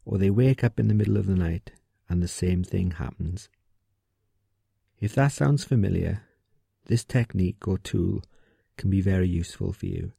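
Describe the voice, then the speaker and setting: A man guiding a sleep meditation in a soft, gentle, soothing voice, giving calm instructions slowly and leaving pauses. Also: deep voice, gravelly, slightly rough, dry recording, studio quality. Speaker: meditation guide.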